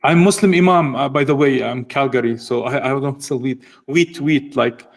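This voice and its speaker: A man's voice: medium pitch, moderate pace, vocal fry. A Palestinian Canadian man calling in to a live chat who asks politely and pleadingly, with broken grammar. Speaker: caller. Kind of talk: casual live audio chat.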